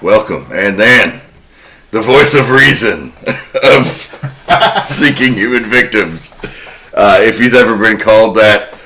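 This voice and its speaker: A man's voice: fairly low pitch, slow pace, pauses often, pushed and bright, a little hiss or hum. A man hosting a horror podcast, introducing and narrating film history in a conversational way. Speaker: podcast host.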